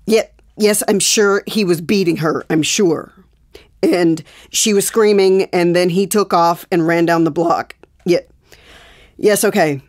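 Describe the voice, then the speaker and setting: A woman's voice: on the low side, darkish tone, natural voice. A woman playing a shaken, angry pregnant wife with an American accent in a naturalistic audio drama, insistent and swearing. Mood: urgent. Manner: agitated, profane, insistent.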